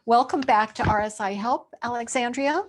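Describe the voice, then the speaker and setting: A woman's voice: a little high, normal speed. A woman interviewer talking casually and asking questions in an informal, curious way.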